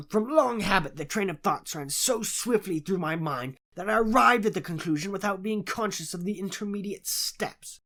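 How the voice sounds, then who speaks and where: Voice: mid-range voice, lively intonation, moderate pace, few pauses, sharp tone, voice pushed up. A narrator playing a conceited, analytical male detective in an audiobook, lecturing with self-assured disdain. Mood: confident, explaining. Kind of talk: audiobook character voice in classic detective story.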